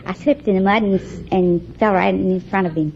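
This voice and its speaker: A woman telling her story in a halting, plain-spoken, folksy way as a radio quiz contestant. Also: mid-range voice, creaky, a crowd in the background. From Iowa, USA. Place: radio studio before a live audience. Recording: muffled old 1950s radio, audience, noise.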